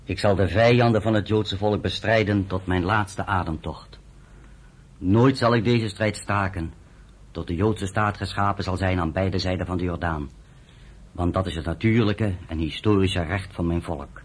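A stern resistance commander in a radio play. The voice is very deep and declaims solemnly, each phrase measured and weighty. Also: male voice. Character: stern male underground field commander administering an oath. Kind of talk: radio drama acting.

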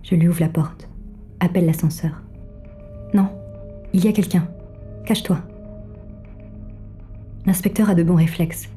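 An actress playing a covert, calculating female artificial intelligence, speaking in measured, formal phrases as she narrates an audio drama. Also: on the low side, fairly even intonation, mellow, faint music behind, close to an everyday voice. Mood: guarded, focused. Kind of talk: scripted audio drama narration in first person.

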